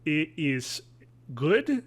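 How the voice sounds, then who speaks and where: A man's voice: on the high side, very animated, very slow. A man in his thirties talking casually and conversationally as a podcast co-host, speaking from a room at home on a video call. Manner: informal, conversational. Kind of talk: casual chat, reviewing TV shows.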